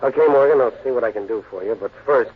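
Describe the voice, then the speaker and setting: An American actor playing a shrewd, tough, skeptical Chicago lawyer-detective in 1940s radio drama style. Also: male voice, mid-range voice, fast talker, breathy edge, close to an everyday voice. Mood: guarded, skeptical. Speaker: lead actor and narrator of a radio crime drama. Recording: dull, noisy 1940s radio recording.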